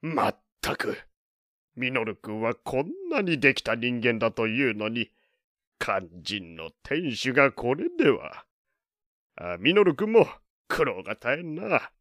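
Lively preachy old gentleman. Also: mid-range voice, unhurried, no room sound, studio quality. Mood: chiding. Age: older. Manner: archaic elderly phrasing, lecturing. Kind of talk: Japanese audio drama acting.

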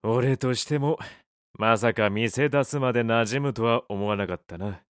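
An actor playing a gruff middle-aged cafe owner in an audio drama, blunt and offhand with cheeky retorts. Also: male voice, mid-range voice, moderate pace, no echo, studio quality, natural voice. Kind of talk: Japanese audio drama acting.

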